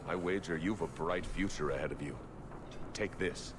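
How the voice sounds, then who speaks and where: A man's voice: deep voice, normal speed, lightly acted. A man playing a solemn, grateful fantasy lord in a video game, speaking formally. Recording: quiet, roomy game audio.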